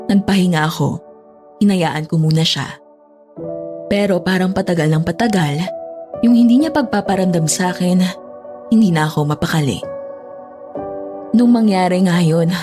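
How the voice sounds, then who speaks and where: A woman's voice: fairly low pitch, darkish tone. A woman narrating a scripted first-person story in a reflective, confessional way. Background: music.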